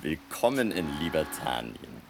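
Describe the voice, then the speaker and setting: A man's voice: a bass voice, sing-song, a little slow, vocal fry, background noise, heavily acted. A man acting a sly, talkative, ironic island local in a 1960s German radio drama. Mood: wry, hospitable.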